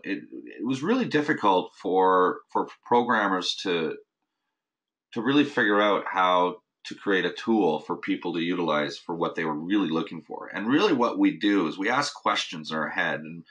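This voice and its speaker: A man with a notably low voice hosts a recorded webinar. He talks loosely and off the cuff, restarting sentences and leaning on fillers as he explains. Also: a bit bright. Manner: casual, many restarts and "you know" fillers. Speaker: host of a weekly marketing update. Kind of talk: unscripted webinar talk.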